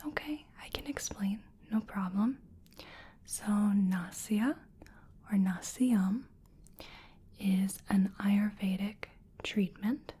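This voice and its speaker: An American woman doing a soft-spoken ASMR roleplay as a wellness practitioner, whispering gently and soothingly in a breathy voice. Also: medium pitch. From US Midwest. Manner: gentle, soothing personal attention, unhurried with pauses. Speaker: ASMR creator playing a wellness treatment practitioner.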